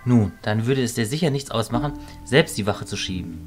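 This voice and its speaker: Man acting a calm, measured magician mentor. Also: medium pitch, faint music behind, lightly acted. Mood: composed. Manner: measured, composed, formal. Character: calm, kindly adult male magician and mentor. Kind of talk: radio play acting.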